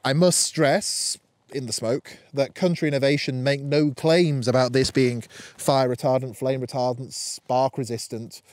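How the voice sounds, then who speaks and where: A British man giving an informal gear review to camera in a measured, explanatory way. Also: medium pitch, steady tone, a little mellow, dry recording. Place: outdoors in damp woodland, under a tarp and by a campfire.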